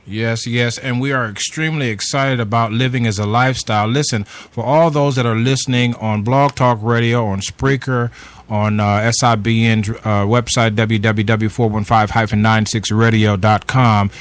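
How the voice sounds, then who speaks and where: A man's voice: mid-range voice, fairly even intonation, normal speed, clear, resonant tone, dry recording. A man hosting a radio interview show, speaking in a sing-song, preacherly way with effusive introductions.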